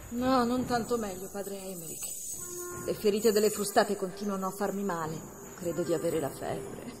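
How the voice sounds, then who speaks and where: An actress voicing a wounded, defiant medieval noblewoman in a radio drama, sharp-tongued and worn from her injuries. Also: medium pitch, moderate pace, over music, natural voice. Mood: weary. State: feverish, aching from wounds.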